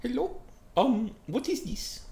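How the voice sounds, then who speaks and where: A man's voice: on the high side, expressive, unhurried, pitched above the performer's own voice. A man voicing a naive, childlike, plaintive Italian man with a put-on Italian accent in a story. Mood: bewildered, hurt. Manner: whimpering, plaintive. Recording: roomy, echoing sound.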